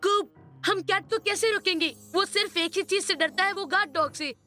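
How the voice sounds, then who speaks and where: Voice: extremely high pitch, moderate pace, bright, forceful tone, faint music behind. बहुत ऊँची, चमकदार आवाज़ वाला घबराया कार्टून बच्चा. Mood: worried. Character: anxious, chatty young cartoon kid, hero's friend. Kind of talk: Hindi-dubbed cartoon acting.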